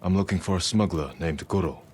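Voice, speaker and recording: An actor playing an earnest, brooding, loyal samurai warrior in a game cutscene, with a restrained, serious delivery. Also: male voice, sits low, fairly quick, a little mellow, husky. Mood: guarded. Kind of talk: dramatic game cutscene acting.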